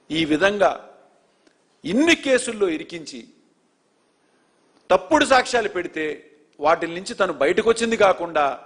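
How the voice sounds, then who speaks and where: Emphatic high-voiced male news presenter. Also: fairly bright tone. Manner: indignant, emphatic, rhetorical questions. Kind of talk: political news commentary.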